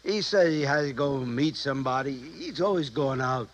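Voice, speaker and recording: An actor playing a slow-witted, trusting American prizefighter in a 1950s radio drama, hesitant and childlike in his ungrammatical speech. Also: mid-range voice, animated, highs cut off, close to an everyday voice. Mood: friendly, dazed. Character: slow-witted, trusting, punch-drunk American male boxer. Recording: faintly noisy 1950s radio recording.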